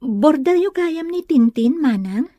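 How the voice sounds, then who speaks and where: A woman's voice: sits high, expressive, measured pace, mellow, dry recording. A woman with a Filipino accent playing a polite, modest younger village woman in an audio drama. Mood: surprised. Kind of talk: Ilocano audio drama acting.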